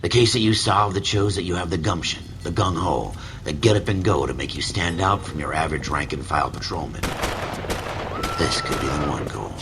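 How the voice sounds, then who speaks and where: An actor playing a seasoned, knowing police narrator, delivering hard-boiled lines in a deliberate, portentous way. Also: male voice, low voice, noisy background.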